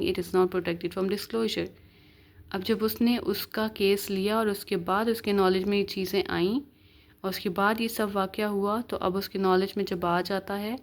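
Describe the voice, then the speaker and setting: A woman with a Pakistani accent giving an explanatory lecture as a law teacher, in a didactic way with short check-in tags. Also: mid-range voice. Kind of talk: explanatory lecture, reading statutes aloud and explaining them.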